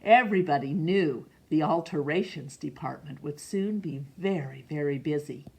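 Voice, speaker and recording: A middle-aged woman reading a picture book aloud in a measured, expressive way for children. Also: sits low, vocal fry. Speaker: storytime reader. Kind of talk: read-aloud storybook narration. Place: small room indoors.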